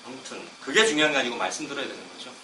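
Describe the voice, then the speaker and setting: Middle-aged male pastor, sermon with a cold, chatty asides, distant mic, echoey church, steady background noise. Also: mid-range voice. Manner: conversational, self-deprecating asides between slow scripture reading. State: has a cold, coughing. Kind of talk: expository sermon. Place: church sanctuary.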